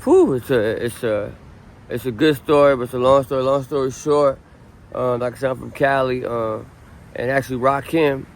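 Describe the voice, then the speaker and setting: A man's voice: medium pitch, normal speed. A man in his late thirties from Southern California, telling his story in a casual street interview, full of ums and restarts. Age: 30s. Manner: relaxed, rambling, many ums and restarts. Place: outdoors on a city street. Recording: faint street noise outdoors.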